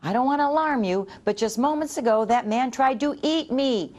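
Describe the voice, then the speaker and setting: A woman voicing an excitable, indignant little male talking doughnut in a children's storybook, with a dramatic manner. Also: high-pitched, moderate pace, pitched above the performer's own voice, natural voice. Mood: frantic, alarmed. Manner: dramatic, frantic, polite when pleading.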